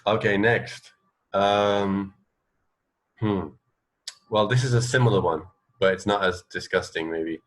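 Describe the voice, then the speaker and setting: A man's voice: fairly low pitch, steady tone, unhurried, long pauses. A man co-hosting a radio show, chatting casually about trivia in a hesitant, mildly wry way. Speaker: radio co-host. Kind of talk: casual chat, sharing trivia.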